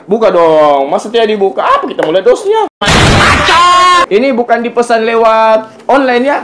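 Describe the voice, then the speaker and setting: A man's voice: very high voice, lively intonation, normal speed, heavy background noise. A man with a Kendari, Southeast Sulawesi Indonesian accent, hosting a casual interview in a chatty, teasing way and repeating phrases for emphasis. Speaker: YouTube interviewer and host. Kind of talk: casual, joking interview chat.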